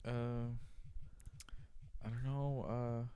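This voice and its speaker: A man chatting casually as a podcast co-host in brief, low-key, hesitant remarks. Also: little pitch movement, extremely slow, dark tone, clear, resonant tone, no room sound. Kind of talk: casual chat.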